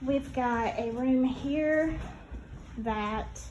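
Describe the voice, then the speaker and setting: A woman giving a casual vlog house tour, talking in a halting, gushing, conversational way. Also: high-pitched, a little mellow, slight background noise.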